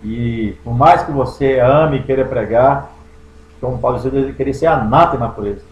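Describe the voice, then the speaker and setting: A Brazilian pastor reading scripture aloud and explaining it verse by verse, stressing key words and stretching some out slowly. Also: male voice, mid-range voice, highs cut off. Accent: Brazilian Portuguese. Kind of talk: Bible study sermon. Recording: echoey room.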